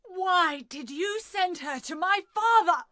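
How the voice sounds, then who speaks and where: Casting: fervent, mournful cult woman for a game cutscene, high fragile register, dry close sound. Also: expressive, slow, sharp tone, no room sound, full character voice. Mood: bitter grief. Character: fervent, sorrowful, fanatically devout cult woman. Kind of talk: video game cutscene acting.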